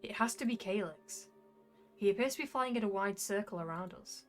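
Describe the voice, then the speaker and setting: Woman voicing a young jester guy telling his story, wry n reflective, mid pitch, visual novel style, music under it. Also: a little fast, close to an everyday voice. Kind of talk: visual novel first-person narration read aloud.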